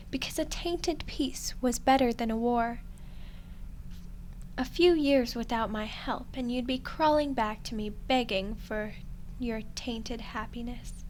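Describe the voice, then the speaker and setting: An ancient demoness, played by a woman for a roleplay ASMR drama, speaks in a slightly high voice at a slow, deliberate pace. She is smug and condescending, with cool menace and dramatic pauses. Some background noise sits under the recording. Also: low-quality recording. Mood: smug, lecturing.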